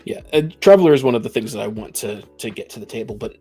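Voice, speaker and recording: A man's voice: on the low side, animated, quick pace, dark tone, slightly rough, faint music behind. A man in a casual, opinionated discussion, talking as a podcast host about games.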